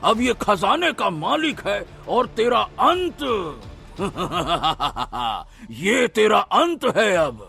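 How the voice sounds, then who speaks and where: A villainous male sorcerer from a cartoon tale. He speaks in a high, highly animated voice with theatrical, taunting menace, over a music bed. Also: extremely slow, creaky, slightly rough, exaggerated voice. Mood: gloating, furious.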